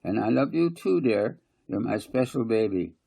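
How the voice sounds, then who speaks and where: Guy playing a kindly motherly mum in a storybook, pitched up a bit, husky. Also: expressive, moderate pace, dark tone. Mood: tender. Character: kindly, gently fussing mother.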